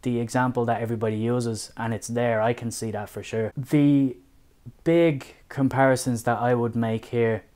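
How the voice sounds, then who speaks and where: A man's voice: mid-range voice, steady tone, darkish tone. Dublin guy chatting about a book, young adult, easygoing and reflective. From Ireland. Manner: measured, thoughtful, with restarts. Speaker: book reviewer. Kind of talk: casual spoken review.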